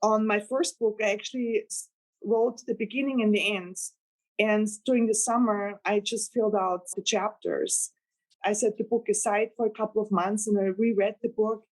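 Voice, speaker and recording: A woman's voice: mid-range voice, fairly even intonation, normal speed, poor audio. A woman from Austria whose first language is German, speaking English with an Austrian accent, chatting earnestly as a guest novelist in an interview. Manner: earnest, rambling, laughs with delight. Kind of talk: casual interview chat.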